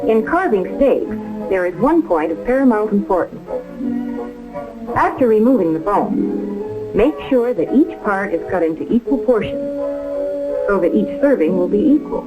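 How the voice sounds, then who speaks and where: A woman's voice: mid-range voice, very animated, moderate pace, poor audio. A woman giving precise, step-by-step scripted instruction as a home economist in a 1950s training film. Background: music.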